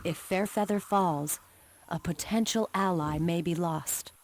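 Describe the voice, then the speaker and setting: An actress playing a resolute female war commander in a game cutscene, speaking in a solemn, measured and formal way. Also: on the low side. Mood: grave, resolute. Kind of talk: scripted cutscene narration. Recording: clearly noisy old game audio.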